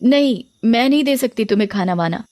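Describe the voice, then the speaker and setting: Woman doing lazy selfish young wife, whiny and snappy, cartoon story, swingy pitch. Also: animated, a little fast, a little mellow, natural voice. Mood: irritated.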